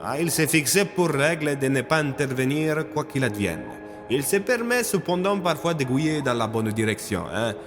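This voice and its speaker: A man's voice: a little high, lively intonation, moderate pace, in a large hall, music in the background, pitched above the performer's own voice, natural voice. A man voicing a chatty, familiar man who explains mysteries with some exasperation in an audio story. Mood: explaining.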